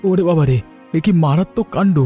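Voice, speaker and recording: A man voicing a younger village helper in an animated story, deferential and solicitous toward his elder. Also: sits high, fast talker, soft background music, lightly acted. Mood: concerned. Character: concerned, respectful younger male village helper, eager to comfort. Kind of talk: Bengali animated story dialogue.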